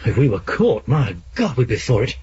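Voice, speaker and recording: An anxious, sceptical man with a mid-range, lively voice. He questions everything in 1970s radio drama style. The broadcast is muffled with slight noise. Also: medium pitch, moderate pace, slightly rough, no echo. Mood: worried. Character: doubting, anxious male companion to an investigator.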